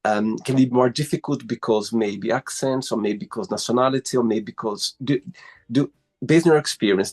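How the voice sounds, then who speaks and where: A man's voice: lowish voice, darkish tone. A man hosting an interview, asking long, winding questions padded with "you know". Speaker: host.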